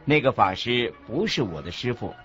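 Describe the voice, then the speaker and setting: Music plays behind a dull recording of an earnest Buddhist master in a radio drama. He teaches didactically in measured phrases, with lively rises and falls at a medium pitch. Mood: earnest, instructive. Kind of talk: scripted radio drama dialogue, expository. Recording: dull lo-fi audio with background music.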